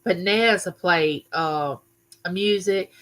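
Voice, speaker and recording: Older woman, livestream host; loud, bright, sharp-edged voice, chatty and welcoming by name. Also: on the low side, takes it slowly. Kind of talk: casual live chat with viewers. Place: home room used for filming.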